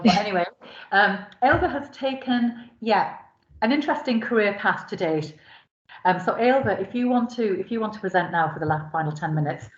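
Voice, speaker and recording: A woman with an Irish accent informally moderating an online seminar as its host. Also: medium pitch. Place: online video call. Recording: roomy, dull lo-fi call audio.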